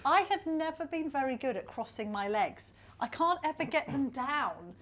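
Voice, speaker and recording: A woman in her forties co-hosting a casual chat, lively and teasing. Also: high voice, moderate pace. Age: 40s. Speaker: co-host.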